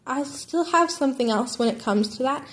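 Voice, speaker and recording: A woman voicing an excitable, sweet, rambling schoolboy in an amateur story reading. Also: sits high, moderate pace. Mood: excited, sweet. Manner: eager, rambling.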